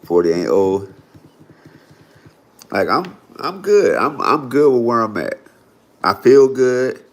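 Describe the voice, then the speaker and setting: Chatty man in his forties, lively. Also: mid-range voice, takes it slowly. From Florida. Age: 40s. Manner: loose, chatty, lots of "y'all" and "right?". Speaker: livestream host. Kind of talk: casual livestream chat with viewers.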